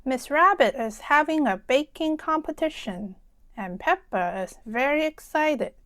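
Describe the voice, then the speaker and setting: High-voiced woman reading a storybook slowly. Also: lively intonation, a bit bright, no room sound, studio quality. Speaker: children's read-aloud storyteller. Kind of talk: scripted storybook narration for young children.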